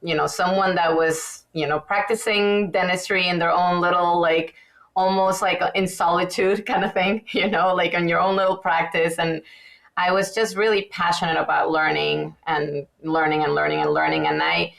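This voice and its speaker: A woman's voice: fairly low pitch, normal speed, vocal fry. A woman from Venezuela, a guest dentist, talking casually in a podcast interview with lots of fillers and restarts. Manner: chatty, many fillers and restarts, animated.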